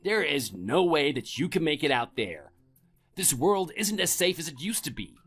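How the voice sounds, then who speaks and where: A man's voice: fairly high pitch, sing-song, normal speed, fairly bright tone, soft background music. An actor playing a domineering, contemptuous middle-aged husband scolding his wife in a scripted audio drama. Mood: dismissive.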